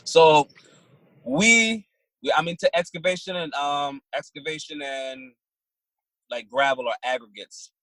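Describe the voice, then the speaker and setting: A man in his thirties from Barbados, a trucking business owner answering interview questions in a plain, halting way. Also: a little high, sharp tone, no room sound, low-quality recording. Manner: plain-spoken, halting with fillers and restarts.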